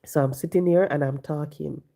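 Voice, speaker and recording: A woman, hoarse and congested from the flu, talking on a casual live stream, preaching and repeating her points to viewers from home. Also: deep voice, steady tone, brisk, dark tone. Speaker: livestream host. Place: home, sitting.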